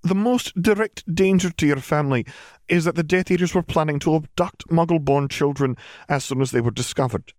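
A man voicing a stern, grave older British witch professor who explains things in a measured, formal way. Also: a little high, darkish tone, no room sound, studio quality, voice pushed up, lightly acted. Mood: grave, careful.

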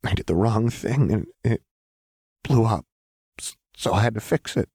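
Casting: narrator as wounded, devoted young woman, childlike and halting, raised pitch, audiobook drama. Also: a little mellow, husky, no room sound, studio quality. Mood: desperate, confused. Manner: halting, stammering, simple and pleading.

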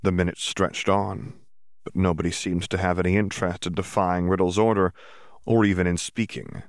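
A man narrates a serialized story in a low voice, steady and plainly told. The recording is dry and close, with no room sound around him. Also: normal speed, no room sound. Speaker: audiobook narrator. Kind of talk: reading a fan fiction audiobook.

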